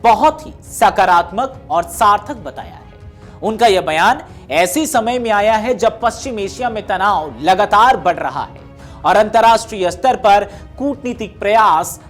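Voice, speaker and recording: A woman reading scripted news narration in a formal, measured newsreader delivery. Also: medium pitch, lively intonation. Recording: music behind the voice. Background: background music.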